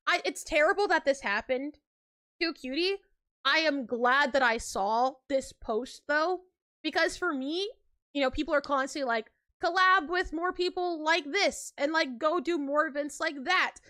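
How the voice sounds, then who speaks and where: High-voiced chatty young woman streamer. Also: normal speed, pushed and bright. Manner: chatty, rambling, laughs often, heavy filler words. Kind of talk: casual live-stream chat.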